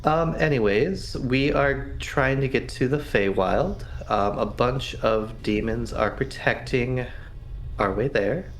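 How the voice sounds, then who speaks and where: A man chatting casually as a player in a tabletop roleplaying game, thinking out loud in a hesitant, musing way. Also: medium pitch, slow pace, slight background noise. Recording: music playing faintly behind. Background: background music.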